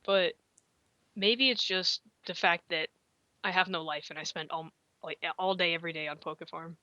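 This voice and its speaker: Bright, low-pitched woman host, dull mic. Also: normal speed, no room sound. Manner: rambling, self-deprecating, laughs often. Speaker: podcast host. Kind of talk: casual chat.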